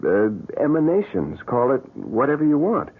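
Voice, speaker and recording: Lively, husky-voiced slick schemer. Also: male voice, mid-range voice, normal speed. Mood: smooth, persuasive. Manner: slick, hesitant, persuasive. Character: glib, greedy, scheming man running a crime-prevention agency. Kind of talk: old-time radio drama acting. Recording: muffled, hissy old radio broadcast.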